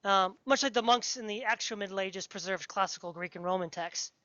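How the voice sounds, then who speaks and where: A woman's voice: mid-range voice, fairly quick, pushed and bright. A woman interviewing someone on a podcast in casual, geeky, enthusiastic conversation. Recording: dull, lo-fi podcast audio.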